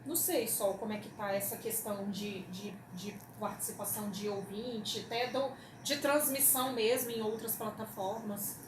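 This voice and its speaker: A woman's voice: medium pitch, steady tone, moderate pace, few pauses. A Brazilian woman radio producer giving reflective, casual interview answers. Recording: echoey room, clear background noise.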